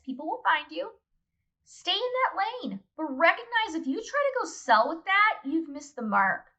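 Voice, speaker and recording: A woman's voice: high-pitched, pushed and bright. A woman guest explaining brand advice in a conversational, emphatic, instructive way, speaking directly to listeners. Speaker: guest, brand consultant and author.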